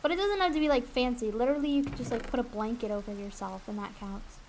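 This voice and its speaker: A young woman with a fairly high voice talks casually as she streams a game. She is candid and rambling, swearing easily. There is noticeable noise behind her on the recording. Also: fast talker, a little mellow. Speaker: streamer and aspiring voice actress. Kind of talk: casual livestream chat while gaming. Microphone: USB desk condenser mic.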